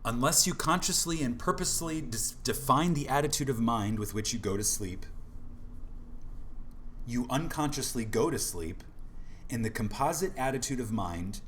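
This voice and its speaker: A man reading an audiobook from a script in an emphatic, deliberate manner, with dramatic pauses for effect. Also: medium pitch, animated, fairly bright tone, a little hiss or hum. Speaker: audiobook narrator.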